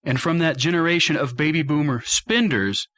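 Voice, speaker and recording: A middle-aged American man hosting a talk radio show, speaking straight to listeners with deliberate, emphatic phrasing. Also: a little high, fairly bright tone, no room sound. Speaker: radio show host and financial advisor.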